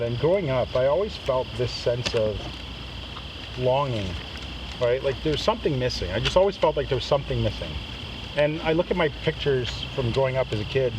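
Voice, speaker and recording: A man from Trinidad shares a personal testimony with a group in an informal, conversational manner, checking in with listeners as he goes. His voice sits at a medium pitch. It is picked up from a distance outdoors, under very loud insect and ambient noise. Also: animated, normal speed. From Trinidad, moved to Canada as a child. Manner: conversational, often checks in with "right?" and "okay?". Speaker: speaker giving a personal testimony. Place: outdoors at a men's canoe trip gathering.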